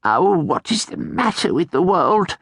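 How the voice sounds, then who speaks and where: Man putting on a shrill fretful old hag. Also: very animated, unhurried, fairly bright tone, breathy edge, no echo, clean studio sound, full character voice. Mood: lamenting. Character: fretful old female hag, excitable witch.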